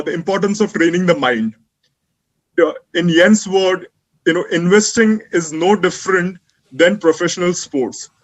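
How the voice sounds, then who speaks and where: High-voiced man hosting a conference. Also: rough recording. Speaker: conference host introducing the speaker. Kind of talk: speaker introduction. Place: remote video call.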